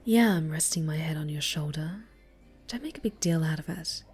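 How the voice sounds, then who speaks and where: A woman playing a snobby but soft, easily flustered cat girl in an intimate ASMR roleplay, speaking coyly and haltingly, often breathy. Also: sits low, faint music behind, natural voice. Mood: drowsy, content. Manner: halting, stammering, coy, haughty.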